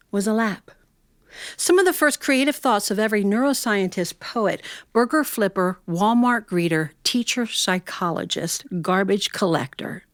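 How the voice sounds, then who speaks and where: Casting: American female storyteller, middle-aged or older, medium pitch, folksy and expressive, conversational asides, dry sound. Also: no room sound. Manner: expressive, with unhurried dramatic phrasing and conversational asides. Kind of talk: live personal storytelling, reflective and folksy.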